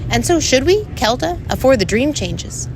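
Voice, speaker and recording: A woman's voice: high voice, very animated, moderate pace, background noise, voice pushed up. A woman voicing a tiny, brash male fairy clan leader with a Scottish accent and a bossy, boastful manner, in a storybook reading. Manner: boastful, bossy, dialect-heavy talk.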